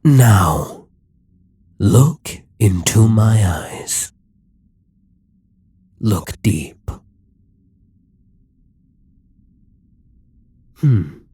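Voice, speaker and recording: A man playing an immortal Demon King in an ASMR roleplay, cold, calculating and condescending, with a husky, commanding delivery. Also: lowish voice, sing-song, very slow, pauses often, dark tone, dry recording, heavily acted. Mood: hypnotic, commanding. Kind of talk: ASMR audio roleplay, dramatic monologue.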